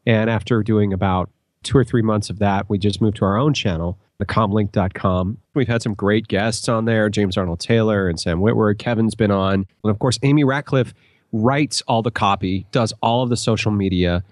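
A middle-aged man with an American accent shares anecdotes as a podcast guest. His voice is fairly low and his delivery casual, recorded dry without room echo. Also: moderate pace.

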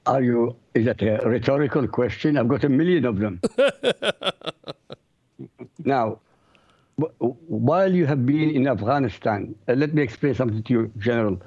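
A man from Iraq whose first language is Arabic, questioning a radio guest in a deliberate, emphatic way. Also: mid-range voice, warm tone. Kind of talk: conversational radio interview. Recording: slightly muffled, lo-fi call-in line.

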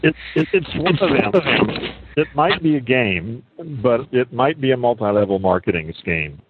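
A man chatting casually, asking eager, rapid questions. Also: mid-range voice, animated, husky. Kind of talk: casual conversation. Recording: phone-like line with faint noise.

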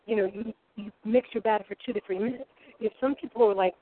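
A woman's voice: medium pitch, fairly even intonation, fast, breathy edge. A woman in her forties with a Rhode Island accent, a guest cook chatting in a boisterous, rambling way. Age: 40s. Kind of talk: casual chat. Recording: tinny phone-like sound.

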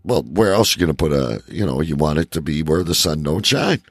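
Guy, raspy and croaky, super animated, swears a lot, joking around on a comedy podcast, dry close sound. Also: very deep voice, fairly quick, no room sound. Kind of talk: irreverent casual banter about odd news.

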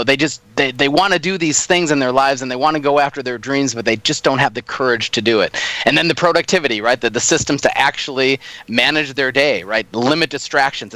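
A man's voice: medium pitch, no room sound, low-quality recording. Middle-aged Midwestern man, keen coach, dry video-call sound. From Minnesota, United States. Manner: energetic, upbeat explaining with frequent "right?" tags. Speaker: guest, performance coach. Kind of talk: radio interview answers over a video call.

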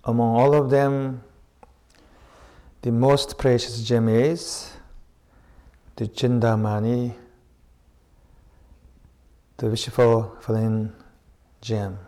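A man leading a guided meditation as a teacher, speaking in short, unhurried phrases with long pauses between them. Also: mid-range voice, little pitch movement, mellow. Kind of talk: slow guided meditation with spoken reflections.

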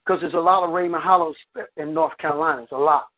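A man's voice: on the high side, fairly even intonation, quick pace, a crowd in the background. A man, the guest preacher, telling a story in his sermon and breaking it up with "come on, amen" as he goes. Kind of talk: preaching by phone conference. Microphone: phone. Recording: tinny phone line.

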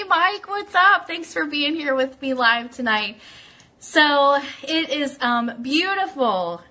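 Chatty lady doing a live radio stream, kinda high voice, really bright and crisp edged. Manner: chatty, addresses viewers directly by name. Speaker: host of a live online radio show. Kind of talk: casual livestream chat, greeting viewers and reading an article aloud.

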